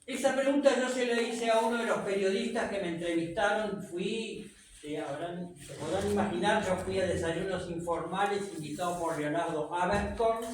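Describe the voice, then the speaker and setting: A man's voice: sits high, normal speed. A man whose first language is French, giving a conversational public talk to an audience and asking them rhetorical questions. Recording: echoey room from far off, faint noise.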